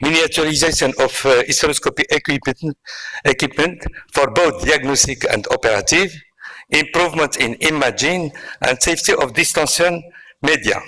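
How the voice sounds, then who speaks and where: A man from Morocco with a Moroccan accent, giving a medical lecture at an online conference in a halting way, pausing often. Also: a little high, pushed and bright, poor audio. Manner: halting, with frequent pauses and hesitations. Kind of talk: medical presentation.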